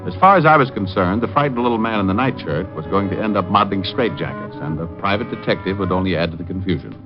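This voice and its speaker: An actor playing a wisecracking private detective who narrates, dry and laconic, in a 1950s radio drama, on a muffled old recording with music. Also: male voice, deep voice, lively intonation, fast. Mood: narrating.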